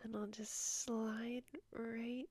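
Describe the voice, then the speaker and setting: A woman playing a doting, dominant lover in an intimate audio roleplay, with a breathy voice and a coaxing manner. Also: a little high, flat delivery, a little mellow, no echo. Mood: tender, coaxing. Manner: slow, coaxing, often whispered.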